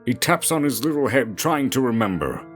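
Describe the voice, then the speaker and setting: A man delivers scripted story narration in a mid-range voice. His pitch moves animatedly to build drama. Music plays clearly behind him. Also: animated, normal speed.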